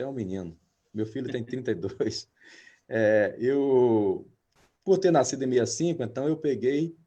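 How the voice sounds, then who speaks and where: A man in his fifties, a pastor hosting an interview, talking hesitantly with many drawn-out filler sounds. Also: medium pitch, warm tone. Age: 50s. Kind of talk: interview, reading a guest introduction.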